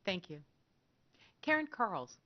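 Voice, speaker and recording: A woman moderating a public candidate forum, reading out rules and introducing candidates in a measured announcing way. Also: mid-range voice, very animated. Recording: dull lo-fi broadcast audio.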